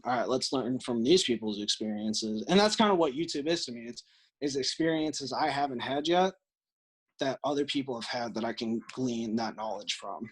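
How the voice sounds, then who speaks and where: A man's voice: mid-range voice, animated, normal speed, slightly rough. A man with an American accent giving earnest, explanatory answers as a podcast guest in a conversational interview. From Illinois, United States.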